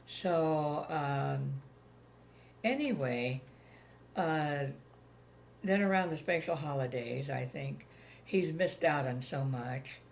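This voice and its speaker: An older woman with a gravelly voice, chatting plainly about her life in an interview, with many ums. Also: deep voice, slow pace, darkish tone, a little hiss or hum. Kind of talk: casual reminiscing chat.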